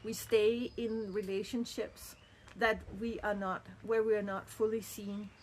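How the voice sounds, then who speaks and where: A woman's voice: mid-range voice, level tone, unhurried, darkish tone, a little hiss or hum. A middle-aged woman from Denmark, speaking in a candid, earnest and reflective personal monologue. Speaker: vlogger.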